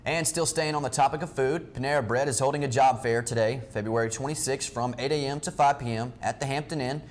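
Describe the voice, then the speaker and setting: A young man co-anchoring a college news show, reading announcements in an even, conversational way. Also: medium pitch, fairly quick, a little hiss or hum. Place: college TV studio.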